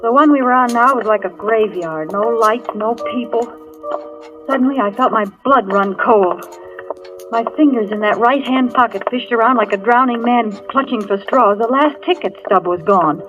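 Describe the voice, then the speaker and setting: A woman's voice: fairly high pitch, moderate pace, close to an everyday voice. An actress playing a frightened young woman in a dramatic 1940s radio thriller. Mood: tense, suspenseful. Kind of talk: 1940s radio thriller acting, first-person narration. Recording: dull vintage radio recording with music.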